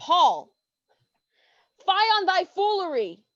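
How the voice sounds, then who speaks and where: Woman as high-voiced, flighty young friend, drawn-out delivery. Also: very animated, fairly bright tone, no echo, highs cut off, heavily acted. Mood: exasperated. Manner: theatrical, drawn-out, archaic diction. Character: flighty, scheming, exasperated young female friend, matchmaking and cursing. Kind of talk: staged reading, mock-Shakespearean comic horror.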